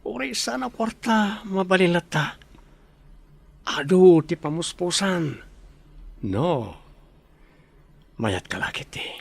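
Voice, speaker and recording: Slow, sly Filipino schemer. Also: male voice, sits high, animated, gravelly, dry recording, exaggerated voice. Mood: sly coaxing. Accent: Ilocano Filipino. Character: sly opportunistic man bargaining with a captive. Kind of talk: Filipino radio drama acting.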